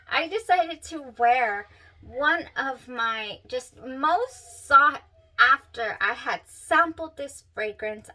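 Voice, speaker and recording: Stuffed-up woman vlogger, nasal, gushing with breathy sighs, bright tense tone, slightly off-mic. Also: high voice, far from the mic, low-quality recording. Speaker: perfume reviewer, channel host. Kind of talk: casual talk to camera.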